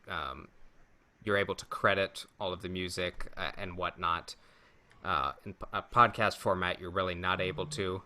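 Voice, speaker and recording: A man co-hosting a podcast in casual chat, halting as he thinks aloud. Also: low voice, pushed and bright. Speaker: podcast co-host.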